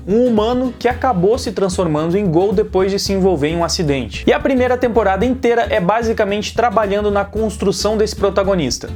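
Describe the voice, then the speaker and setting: A man's voice: sits high, a little mellow. A man with a Brazilian accent hosting a casual, scripted video review in an informal, conversational, slangy way. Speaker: YouTube host and anime reviewer.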